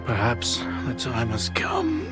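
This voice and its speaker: An actor playing a philosophical older doctor in a video game, speaking in a measured, solemn, cryptic way. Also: male voice, medium pitch, huge pitch swings, loud music behind the voice. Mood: solemn, musing. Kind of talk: dramatic video game cutscene acting.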